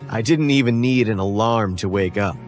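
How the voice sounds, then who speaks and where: A man plays an earnest young male ballplayer narrating a horror audio drama. His voice sits fairly low, and he tells his story deliberately and conversationally, with determination. Music runs clearly underneath. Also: lightly acted. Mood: resolute, tense. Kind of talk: scripted first-person audio drama narration.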